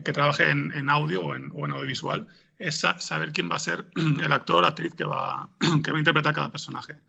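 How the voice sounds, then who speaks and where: A man giving informal interview answers as a scriptwriter, haltingly, repeating words as he thinks, with a gravelly, husky voice. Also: a little high, pushed and bright, muffled recording. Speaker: podcast scriptwriter being interviewed.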